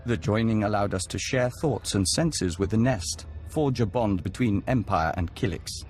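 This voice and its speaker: A man voicing a serene, courteous video game envoy joined to an alien hive mind, speaking formally and with measured care. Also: fairly low pitch, lively intonation, darkish tone, slight background noise, close to an everyday voice.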